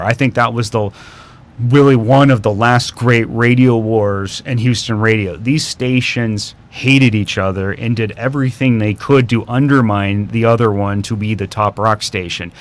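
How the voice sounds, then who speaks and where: A man speaking as an interview guest, telling stories in a casual, detailed, conversational way. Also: fairly low pitch. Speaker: interview guest, radio history expert and documentary maker.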